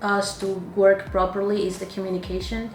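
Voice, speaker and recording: A woman's voice: medium pitch, little pitch movement, a little fast, reverberant, far from the mic, soft background music. A woman in her twenties from Venezuela who speaks natively, giving short, plain answers as an executive assistant. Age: 20s. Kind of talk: casual interview answers.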